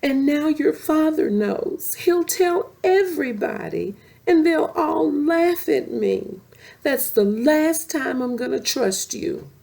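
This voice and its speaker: Lady doing a little girl lion cub from a storybook, emphatic, touchy, higher voice, takes her time. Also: expressive, slow, gravelly, a little mellow, full character voice. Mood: angry, hurt. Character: young female lion cub, earnest and quick to anger.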